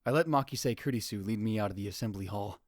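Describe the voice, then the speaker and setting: A man's voice: fairly low pitch, fast talker, dry recording, clean studio sound, natural voice. Man acting a pompous, paranoid young mad scientist. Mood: smug, brooding. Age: young adult. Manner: pompous, melodramatic, swinging from hushed muttering to outbursts. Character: paranoid, grandiose young male self-proclaimed mad scientist. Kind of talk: theatrical visual novel fan dub, narration and dialogue.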